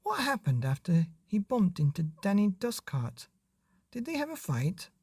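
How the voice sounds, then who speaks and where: Casting: eager storybook small boy acted by a male reader, pitched up, lively and childlike. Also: measured pace, husky, no room sound, studio quality, heavily acted. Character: eager, pleading young boy in a storybook family.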